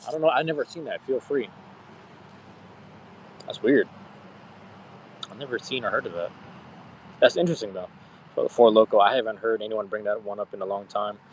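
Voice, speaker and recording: Man, thirties, streamer chatting with viewers, rambling, cussing, slangy, lowish voice. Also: brisk, long pauses, a little hiss or hum, poor audio. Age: 30s. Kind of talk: casual live-stream chat with viewers.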